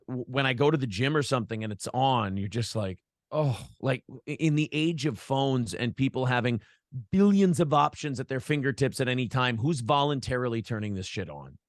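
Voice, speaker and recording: A man's voice: medium pitch, dry recording, studio quality. An American man chatting casually and profanely as an interview guest, a broadcaster who tells stories by acting out imagined quotes. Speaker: podcast host being interviewed, former radio broadcaster.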